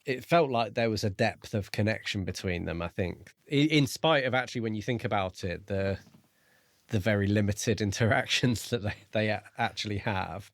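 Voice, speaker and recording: Male co-host for casual book talk, lowish voice, reflective and tentative, dry sound. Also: normal speed, no echo. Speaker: podcast co-host.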